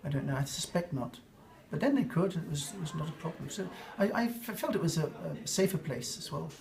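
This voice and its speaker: A man's voice: on the high side, expressive, fairly quick, creaky, a little mellow, slight background noise. An older man with an English accent, answering interview questions in a reflective, rambling way with fillers and restarts. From England.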